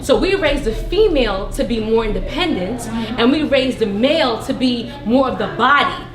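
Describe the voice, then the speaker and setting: A woman on a live panel, talking in an animated, storytelling way and checking often for agreement. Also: fairly high pitch, moderate pace. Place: echoey art venue, live taping. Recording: roomy live recording with music behind.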